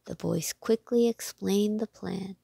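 A woman narrating a children's storybook aloud in a measured, clearly phrased way. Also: mid-range voice, dark tone, no room sound, studio quality.

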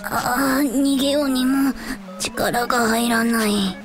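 High-pitched pompous little dragon kid. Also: female voice, fairly even intonation, takes it slowly, over music. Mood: despairing. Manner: archaic, pompous speech that slips into pleading. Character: small chubby child-form dragon, pompous yet timid. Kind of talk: Japanese radio drama acting.

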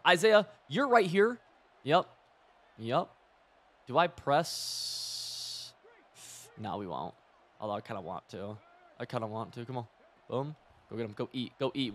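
A hoarse young man talks through a football video game as he plays, hopping from tactics to chatty personal tangents. His voice sits at an ordinary pitch, and he gets loud when a play goes well or badly. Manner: rambling, excitable, swings between coaching and side stories. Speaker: gaming video creator. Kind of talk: live gameplay commentary.

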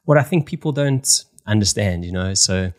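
South African man, low voice, chatty podcast host, repeats words, home studio mic. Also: animated, normal speed. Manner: chatty, repeats words while searching for phrasing. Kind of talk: casual interview chat. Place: small home room, indoors. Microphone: studio mic on stand with headphones, video call.

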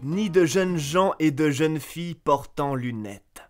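A man reading a fairy tale aloud as a storyteller, with expressive narration that lifts sharply on key words. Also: a little high, slow, faint music behind.

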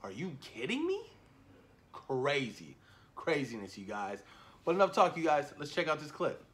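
A man in his thirties chatting casually and excitedly to camera, slangy and repeating himself for emphasis, as a reaction video host. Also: on the high side, moderate pace. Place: small indoor room at home. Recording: noticeable background noise, home camera recording.

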